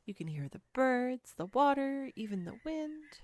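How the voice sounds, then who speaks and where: A woman's voice: high-pitched, very animated, unhurried, a little hiss or hum. A woman playing a clumsy, giggly, self-deprecating girlfriend on a date, in an intimate one-sided roleplay audio drama. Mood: playful. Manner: flustered, self-deprecating, chatty with bursts of laughter.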